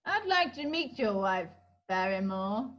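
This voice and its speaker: A woman playing a bossy, suspicious gentleman in a comic amateur radio play, emphatic and imperious. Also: medium pitch, sing-song, measured pace, muffled recording. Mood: demanding.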